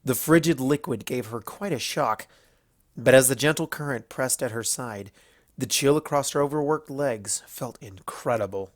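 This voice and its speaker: Guy narrating a fanfic, mid pitched voice, expressive storytelling, really dry close sound. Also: normal speed, no room sound. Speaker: fan fiction narrator.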